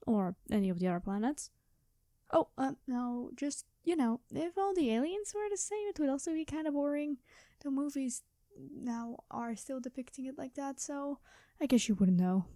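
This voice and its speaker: A woman's voice: high voice, animated, normal speed, dark tone, no room sound. A woman playing a quirky, chatty time traveler from the future, rambling and flustered, in an ASMR roleplay. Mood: playful, rambling. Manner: rambling, flustered, hesitant, cheery. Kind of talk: ASMR storytelling roleplay, one-sided conversation.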